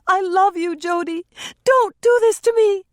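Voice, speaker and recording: A woman reading an audiobook and voicing a fragile, tearful young woman who speaks softly and pleadingly. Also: high-pitched, animated, unhurried, no echo, clean studio sound, pitched above the performer's own voice. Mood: panicked.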